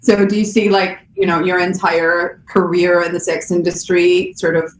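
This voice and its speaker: A woman's voice: on the low side, level tone, normal speed, a little hiss or hum. Finnish-accented woman host in an echoey room. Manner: friendly, laughs often. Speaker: podcast co-host. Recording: echoey room, faint ambience.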